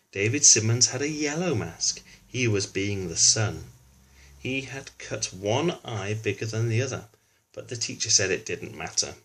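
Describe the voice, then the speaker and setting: A man's voice: medium pitch, a bit bright, breathy edge. A man reading a children's book aloud, narrating with chatty asides addressed to young listeners.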